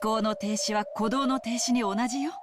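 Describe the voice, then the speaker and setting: A woman's voice: on the high side, fairly even intonation, very fast, close to an everyday voice. A voice actress playing a composed, proud and determined woman in a Japanese mystery game. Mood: resolute. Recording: noisy game audio played on a stream.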